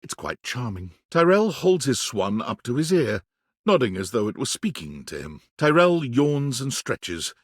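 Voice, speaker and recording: A man giving scripted narration for a dating game, wry and deliberate, with dramatic pauses. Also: medium pitch, sing-song, breathy edge, no room sound, studio quality. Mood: wry, warm.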